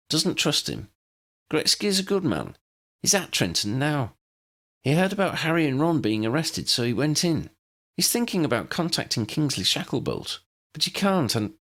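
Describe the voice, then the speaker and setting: A man's voice: on the high side, a bit bright, pitched above the performer's own voice, exaggerated voice. A man voicing an anxious, breathless young woman in an audiobook story.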